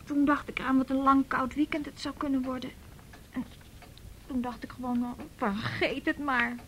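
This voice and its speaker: An actress playing a hurt, defiant young runaway girl in a naturalistic radio drama, telling things in a halting, wry way. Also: high voice, flat delivery, a little hiss or hum. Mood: resigned.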